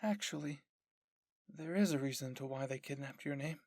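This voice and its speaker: A man playing an earnest, hesitant young woman in an audio story, explaining haltingly. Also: mid-range voice, dry recording, close to an everyday voice.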